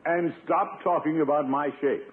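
An actor playing a pompous, blustering middle-aged American uncle in a 1950s radio comedy. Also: male voice, a little high, steady tone, normal speed, very noisy, close to an everyday voice. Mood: flustered, indignant. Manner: pompous, blustering, self-satisfied chuckles. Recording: narrow, tinny 1950s radio recording.

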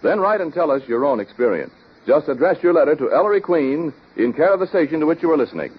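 Animated vintage radio announcer man. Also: fairly high pitch, fast talker, noisy background. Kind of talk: 1930s-40s radio announcing, sponsor pitch. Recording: dull old radio recording.

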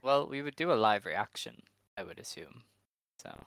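A man hosting a podcast in an upbeat, chatty way. His voice is smooth and clear, bright, and a little low. The recording is dry and close. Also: big pitch swings, moderate pace, no echo. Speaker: podcast host. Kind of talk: casual chat.

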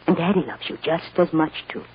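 A woman's voice: low-pitched, a little fast, natural voice. An actress playing a loving, reassuring American mother soothing her young daughter in an old-time radio drama. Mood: earnest, reassuring. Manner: tender, reassuring, emotionally earnest. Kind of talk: 1940s-50s American radio drama acting. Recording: dull, noisy old radio recording.

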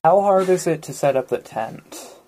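A woman's voice: sits low, lively intonation, moderate pace, warm tone. A woman chatting casually as a player in a tabletop roleplaying game. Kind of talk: casual chat during a game.

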